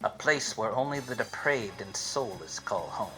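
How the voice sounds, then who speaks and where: An actor playing a self-serious, obtuse private eye in a parody of 1940s detective radio plays, with melodramatic hard-boiled delivery. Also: male voice, on the low side, lively intonation, normal speed, fairly bright tone, low-quality recording. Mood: brooding. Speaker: narrator and lead of a radio play. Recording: music under the voice.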